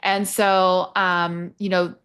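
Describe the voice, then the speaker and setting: A woman's voice: fairly low pitch, level tone, slow pace, a bit bright, smooth. A middle-aged woman from England with an American accent, telling personal stories candidly and conversationally as an interview guest. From Nottingham, England. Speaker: podcast guest, army veteran working in HR.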